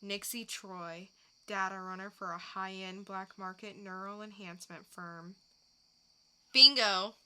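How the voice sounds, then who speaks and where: Woman playing a sharp, sardonic comic-book heroine. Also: mid-range voice, steady tone, a little slow, smooth, low-quality recording. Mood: focused. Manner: terse, wry. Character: cool, weary, sardonic woman mercenary hero. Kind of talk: comic book dramatic reading.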